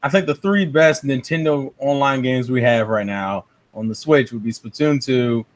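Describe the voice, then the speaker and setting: A man's voice: mid-range voice, normal speed. A man debating casually as a podcast co-host, complaining in an incredulous way and swearing freely.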